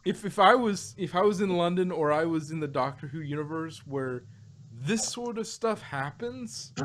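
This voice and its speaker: A man's voice: a little high, expressive, normal speed, a bit bright, a little hiss or hum. A man podcast host in casual, joking banter, rambling through stories as he goes. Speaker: podcast host reviewing audio drama.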